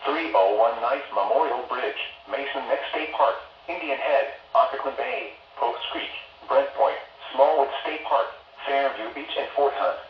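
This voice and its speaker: A man's voice: mid-range voice, unhurried. A dull, echoey weather radio transmission with faint noise carries a synthetic male American voice. He reads bulletins as an automated announcer, in formal and stilted phrases. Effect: weather radio broadcast. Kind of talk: scripted weather bulletin reading. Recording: muffled, faintly noisy, echoey radio broadcast.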